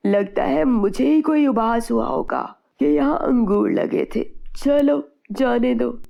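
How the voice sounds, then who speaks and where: A woman's voice: fairly high pitch, expressive, takes it slowly, vocal fry, dull audio, heavily acted. A woman voicing an honest, worried farm labourer in a children's moral story cartoon, earnest and plain-spoken. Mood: puzzled, worried.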